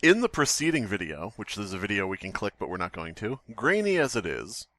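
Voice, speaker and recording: Man, podcast co-host, low lively voice, irreverent and sweary, casual comedic chat. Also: a little fast, no room sound, clean studio sound.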